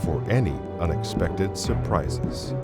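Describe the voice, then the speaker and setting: A man's voice: sits low, lively intonation, slow pace, over loud music. A man giving dramatic scripted narration as the narrator of a radio play.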